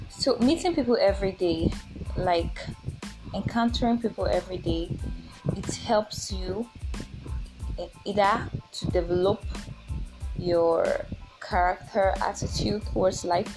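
A woman in her twenties with a Nigerian accent, talking informally as a solo podcast host in a chatty, halting, repetitive way. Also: lowish voice, rough recording. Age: 20s.

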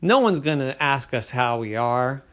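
A man's voice: medium pitch, animated, a little slow, fairly bright tone. Picture a sulky little boy who'd rather grunt than chat, complaining whenever he's pushed to be polite, as a storyteller puts him on. His voice swings up and down between the gripes, and he takes his time getting the words out. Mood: exasperated. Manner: reluctant, mumbling, complaining. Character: grumpy, tight-lipped young boy.

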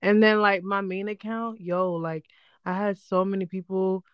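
A young woman with a slightly hoarse but smooth voice, recorded close and dry with a slightly muffled, dull tone. She chats casually and quickly, playful and a little defensive, like she's arguing with her brother. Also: mid-range voice, little pitch movement, no room sound. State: under the weather, slightly hoarse. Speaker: podcast co-host. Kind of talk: casual sibling podcast chat. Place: apart from her co-host, remote recording.

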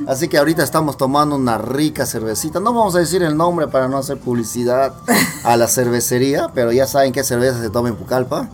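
A man hosting a casual podcast interview among friends over beer, joking and asking quick questions. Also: medium pitch. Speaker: podcast host. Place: gathering with friends drinking beer. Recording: music playing in the background.

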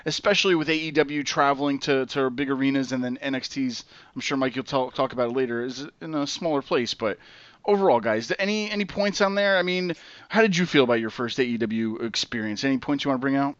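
A man's voice: mid-range voice, fast talker, a bit bright, no room sound. A man hosting a podcast, rambling and hedging with lots of fillers as he leads the chat. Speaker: podcast host. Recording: dull lo-fi podcast audio.

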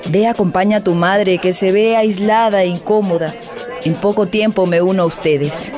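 An actress playing a proud, brooding young bride torn by forbidden love in a melodramatic audio drama. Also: female voice, mid-range voice, steady tone, normal speed, natural voice. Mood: composed. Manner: imperious, brooding. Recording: loud music bed under the voice.

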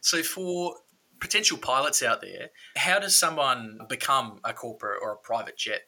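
A man's voice: mid-range voice, animated, normal speed, pushed and bright. A man, hosting an aviation podcast interview. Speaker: podcast host, pilot.

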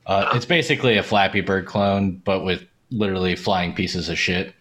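A man's voice: sits low, normal speed, fairly bright tone. A man speaking as a podcast guest in casual, sweary conversation over a video call. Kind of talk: casual chat.

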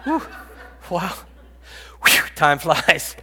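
A man in his fifties preaching a sermon, rising to loud pleading and dropping to hushed whispers. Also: fairly high pitch, big pitch swings, drawn-out words, husky. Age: 50s. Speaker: pastor. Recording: long reverb, echoey hall.

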